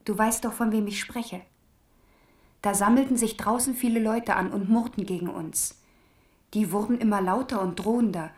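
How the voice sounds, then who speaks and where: An earnest young princess played by a woman, her voice ringing with echo. She confides a letter to her brother in clear dramatic phrases, in the manner of a radio play. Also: medium pitch, natural voice. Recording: echoey letter-reading sound.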